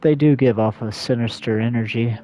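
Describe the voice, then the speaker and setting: A man's voice: mid-range voice, normal speed, crowd noise, poor audio. A man livestreaming a video game, reading its dialogue aloud and adding casual commentary.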